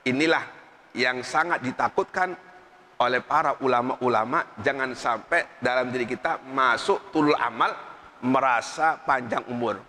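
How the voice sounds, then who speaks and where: A man's voice: fairly high pitch, sharp tone. A man preaching a religious sermon with emphasis, repeating phrases and quoting Arabic sayings. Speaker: Islamic preacher. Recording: long reverb in a hall.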